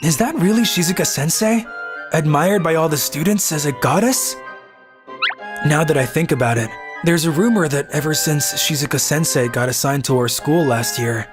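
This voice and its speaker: A woman's voice: low voice, moderate pace, music in the background, close to an everyday voice. A woman voicing a timid, introverted teenage schoolboy in a manga dub, hesitant in manner. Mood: puzzled. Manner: hesitant, stammering when addressed. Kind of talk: manga dub narration and acting.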